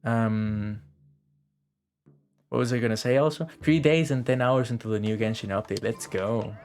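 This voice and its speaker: A man's voice: mid-range voice, moderate pace, darkish tone. A young man doing casual livestream commentary over a video game, rambling and thinking aloud. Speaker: game streamer. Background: game music.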